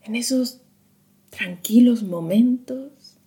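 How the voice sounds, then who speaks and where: A woman guiding a meditation in a soothing, hushed manner, leaving long pauses between short phrases. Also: fairly high pitch. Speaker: meditation guide. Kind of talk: slow guided meditation narration.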